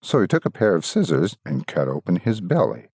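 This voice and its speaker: A man reads a fairytale in a deep, husky voice. His delivery is theatrical and deliberate, with huge swings of intonation. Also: huge pitch swings, darkish tone, no room sound, clean studio sound. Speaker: storyteller, narrator. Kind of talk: dramatic scripted fairytale narration.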